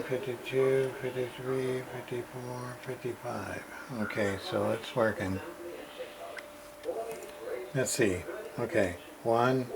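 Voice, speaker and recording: A man giving a casual, unscripted repair demonstration, haltingly thinking aloud as he explains his work. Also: medium pitch, rough recording. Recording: clear background noise.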